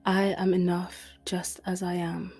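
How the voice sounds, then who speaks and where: A woman leads a guided meditation in a hushed, breathy voice. Her delivery is very slow and even, with little rise or fall in pitch. Soft music plays behind her soothing words. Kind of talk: guided meditation, scripted narration.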